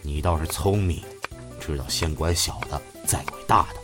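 A man's voice: very deep voice, moderate pace, over music. A man playing a blunt, teasing middle-aged father and fond grandpa in a modern Chinese audio drama. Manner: blunt, teasing, mock-scolding.

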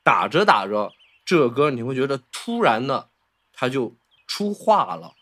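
A man's voice: medium pitch, sing-song, unhurried, fairly bright tone. A man, talking casually and enthusiastically about film as a podcast host, often repeating himself.

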